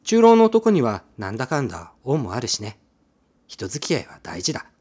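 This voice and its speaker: A man's voice: mid-range voice, huge pitch swings, moderate pace, darkish tone, breathy edge. A man narrating a radio drama in the first person, telling it expressively as a scripted story.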